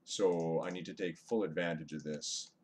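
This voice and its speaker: A man's voice: sits low, steady tone, moderate pace, a little mellow. A man streaming a game live from his computer, chatting with viewers and muttering over his play, recorded on a webcam mic set back from him. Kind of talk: casual live-stream chat while gaming. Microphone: webcam microphone, away from mouth. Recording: faint hiss from webcam mic.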